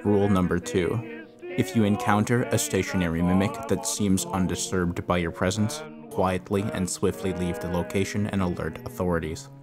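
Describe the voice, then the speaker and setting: A low male voice delivers a scripted horror explainer. He speaks in a measured, expository manner, setting out each point as a narrator would. Music plays faintly behind him. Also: fairly even intonation. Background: background music.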